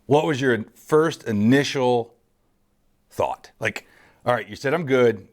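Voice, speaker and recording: A man's voice: mid-range voice, slow pace. A man in his early forties hosting a casual interview, rambling and correcting himself, laughing easily. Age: 40s. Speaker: host.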